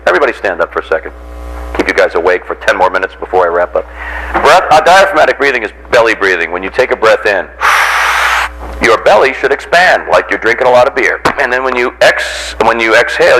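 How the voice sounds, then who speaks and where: A man's voice: fairly low pitch, a little hiss or hum, poor audio. An American man giving an informal voice workshop, teaching in a jocular way and putting questions to his listeners. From Randolph, near Boston, United States. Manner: jocular, animated teacher who acts out examples and puts questions to listeners. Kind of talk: informal instructional talk to a group.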